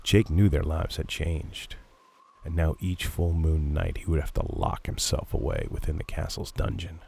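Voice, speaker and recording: A man's voice: a bass voice, moderate pace, gravelly, breathy edge. A man reading a scripted horror story as narrator, with dramatic storytelling delivery. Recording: steady background noise of rain ambience.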